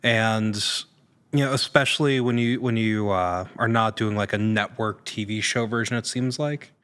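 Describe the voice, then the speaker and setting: A man's voice: fairly low pitch, moderate pace, a bit bright, clean tone. A man talking casually as a guest actor in an interview, picking his words in a hesitant, thoughtful way. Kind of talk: casual podcast chat.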